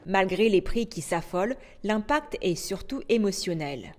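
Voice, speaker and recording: A woman's voice: mid-range voice, unhurried. Woman reading the news. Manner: steady, formal newsreading. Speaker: news anchor. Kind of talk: scripted news narration.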